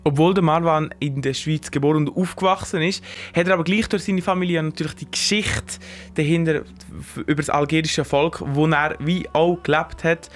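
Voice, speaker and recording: Swiss german dude on the radio, slightly high voice, chatty, explains stuff. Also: male voice. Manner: conversational, explaining. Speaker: radio reporter. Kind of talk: radio feature narration.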